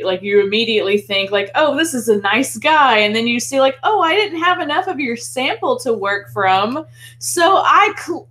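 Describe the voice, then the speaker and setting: A woman's voice: medium pitch, a bit bright. A woman co-hosting a casual film discussion, talking in an enthusiastic, chatty, informal way. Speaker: podcast co-host.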